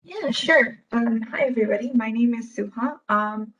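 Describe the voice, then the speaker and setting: A young woman from Bangladesh introducing herself on a panel, brisk with frequent fillers. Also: a little high, a bit bright, breathy edge, highs cut off. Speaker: panelist, career advisor.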